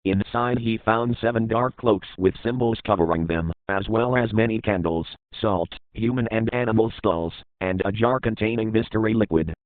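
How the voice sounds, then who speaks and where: A man's voice: medium pitch, dry recording, phone-quality sound. A man reading scripted horror narration in the manner of a documentary report.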